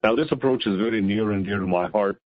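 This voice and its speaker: Low-voiced man, slightly dull dry audio. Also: fast, no echo. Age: middle-aged. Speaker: chief science officer presenting. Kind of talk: scripted investor presentation. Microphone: conference call line.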